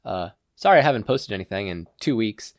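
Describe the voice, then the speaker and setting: A podfic narrator with a medium-pitched voice and lively intonation reads fan fiction aloud. The sound is close and dry, with very little room. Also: a little fast, dry recording.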